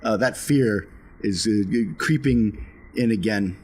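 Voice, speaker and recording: A man with a husky voice, chatting casually as a player in a tabletop role-playing game. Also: fairly low pitch, slow, a little mellow.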